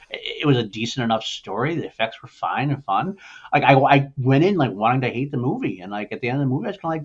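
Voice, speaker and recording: A man's voice: medium pitch, breathy edge, lo-fi sound. A middle-aged man hosting a casual movie discussion, rambling along with enthusiasm. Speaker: podcast host.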